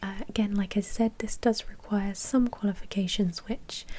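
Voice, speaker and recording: Whispery asmr lady, soft dark breathy voice, playing a polite vampire office manager. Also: medium pitch, steady tone, normal speed. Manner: soft, hesitant, matter-of-fact whispering. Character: female vampire blood bank manager, polite and businesslike. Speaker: ASMR roleplay performer. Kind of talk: whispered ASMR roleplay, unhurried and explanatory.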